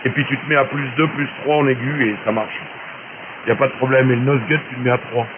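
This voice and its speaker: A man's voice: medium pitch, steady tone, fast. A man talking as an amateur radio operator over shortwave, giving casual technical advice in a chatty, colloquial way. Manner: colloquial, chatty explaining, frequent tag words. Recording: narrow hissy noisy shortwave radio transmission.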